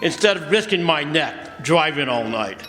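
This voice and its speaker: A man's voice: on the high side, lively intonation, moderate pace, bright, forceful tone. A man playing a hot-tempered, bitter ambulance driver in an old-time radio drama, slangy, blustering and agitated. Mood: bitter, defensive. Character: hot-tempered, bitter working-class male ambulance driver, desperate husband. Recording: echoey hall stage recording, faint noise.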